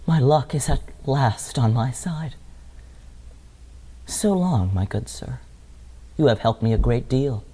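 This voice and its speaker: A man's voice: medium pitch, mellow, close to an everyday voice. An actor playing a blinded, betrayed old nobleman father in a Shakespearean monologue, lamenting in grand, formal, theatrical phrases.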